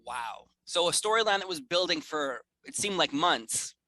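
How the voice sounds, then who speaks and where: Upbeat male interviewer, very bright voice, dry close sound. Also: a little high, animated, normal speed, no echo, rough recording. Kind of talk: interview questions.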